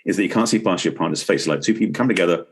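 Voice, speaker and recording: A middle-aged man talks as an expert guest on a podcast. His voice is very low and noticeably husky, and he speaks fast. He explains in a conversational way, rephrasing as he goes.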